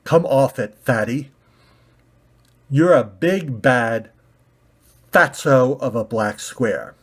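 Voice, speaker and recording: A man's voice: mid-range voice, expressive, breathy edge, exaggerated voice. Man voicing a smug, drawling female red ball. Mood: taunting. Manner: condescending, drawn-out, emphatic.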